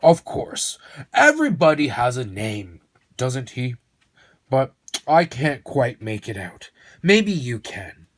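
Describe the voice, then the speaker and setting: A man voicing an excitable old bald storyteller for a children's storybook, coaxing and theatrical, with drawn-out exclamations. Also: medium pitch, exaggerated voice.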